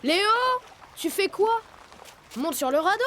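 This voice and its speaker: A woman's voice: very high-pitched, animated, measured pace, fairly bright tone, a little hiss or hum, heavily acted. Woman voicing a playful young tiger cub boy. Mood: teasing. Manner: playful, teasing. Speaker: dubbing voice actor. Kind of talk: children's cartoon dubbing. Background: music.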